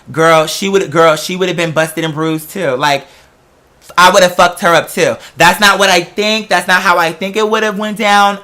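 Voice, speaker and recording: A man with an American accent telling a story as a casual, animated rant, sassy and confrontational, with emphatic slang and swearing. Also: high voice.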